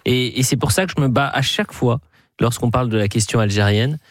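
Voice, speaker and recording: A man's voice: medium pitch, a little fast, dry recording, clean studio sound. A man hosting a radio talk show, speaking earnestly in long, winding sentences. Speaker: radio host. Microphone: studio microphone.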